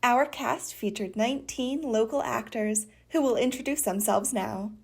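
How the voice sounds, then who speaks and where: A woman presenting a scripted closing announcement in a measured way. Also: fairly high pitch, vocal fry, breathy edge. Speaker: presenter of library radio play.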